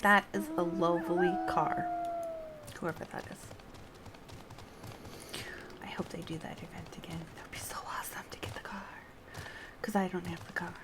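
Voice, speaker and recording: A woman's voice: on the low side, huge pitch swings, measured pace, long pauses, in a whisper. A woman hosting a casual game livestream, chatting playfully and making light fun of herself. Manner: chatty, giggly, playful, self-deprecating. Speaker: game streamer and host. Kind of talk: casual livestream gameplay chat.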